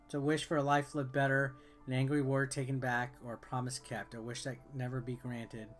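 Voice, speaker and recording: A man hosts a gameplay video in a casual, offhand way. His voice sits at an average pitch as he reads game text and comments on it. Soft music plays in the background. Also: little pitch movement, brisk. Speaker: game reviewer and video host.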